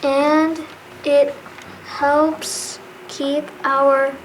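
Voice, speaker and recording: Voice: high voice, flat delivery, extremely slow, rough recording. An amateur performer with a youthful female voice playing an earnest storybook kingdom creature in reader's theater, reading carefully. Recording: music behind the voice. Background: clear background music.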